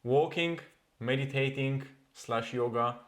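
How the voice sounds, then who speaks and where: A man's voice: mid-range voice, slow. A man, a coach co-hosting a podcast, talking earnestly in a casual chat and repeating phrases for emphasis. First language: Bulgarian.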